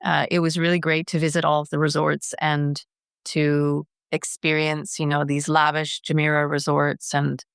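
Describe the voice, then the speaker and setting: Smooth-voiced woman guest, earnest. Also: low voice, monotone, moderate pace, no room sound, studio quality. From Canada. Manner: earnest, frequent restarts. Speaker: guest, wellness strategy director. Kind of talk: conversational interview.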